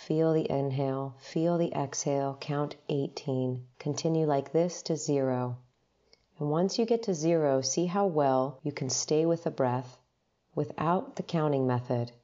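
Low, slow, mellow woman guiding meditation. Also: muffled recording. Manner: gentle, unhurried, instructional, with long pauses between short phrases. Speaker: meditation guide. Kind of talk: guided meditation narration.

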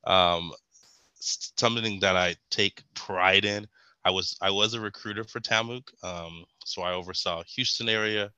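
A young man from Texas talks through a casual interview, wandering from point to point with lots of ums and restarts. His voice sits very low, yet the tone is bright and forceful. The sound is dry and close. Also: fairly even intonation, normal speed, clear, resonant tone, no room sound, highs cut off. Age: young adult. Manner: rambling, frequent ums and restarts. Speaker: guest.

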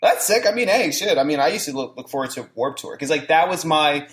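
This young host chats loosely and says "like" a lot. The pitch leaps around as they talk. The voice bounces around a reverberant room. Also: fairly quick. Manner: animated, says "like" a lot, does mocking quoted voices. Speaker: podcast host. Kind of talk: casual chat. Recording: echoey room.

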